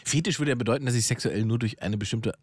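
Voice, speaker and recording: A man's voice: medium pitch, fast, husky, no room sound. A man talking casually as a podcast co-host, explaining his views at length in blunt, colloquial language. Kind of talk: casual conversational discussion.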